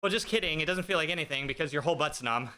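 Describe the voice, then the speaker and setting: Thirties male streamer, a bit high and bright, joking casually with chat over a desk mic. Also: very fast, clear, resonant tone. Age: 30s. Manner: joking, self-deprecating, chatty; talks while riding an indoor bike. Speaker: fitness streamer. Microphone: streaming desk mic with noise gate.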